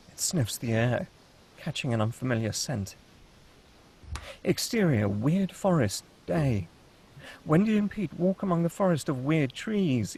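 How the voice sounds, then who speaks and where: A man's voice: on the high side, a little mellow, husky, rough recording. A man reading scene headings and stage directions as the narrator of a scripted sci-fi table read.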